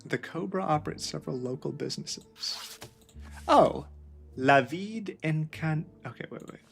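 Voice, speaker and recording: Gravelly man thinking aloud while gaming. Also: on the high side, animated, a little slow, faint music behind. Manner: musing, thinking aloud, often trailing off. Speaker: video game player. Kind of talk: gameplay commentary.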